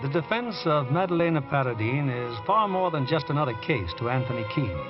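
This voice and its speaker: A man's voice: mid-range voice, animated, brisk, loud music behind the voice. A man narrating as host of a radio drama in scripted 1940s broadcast style. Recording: dull old radio recording.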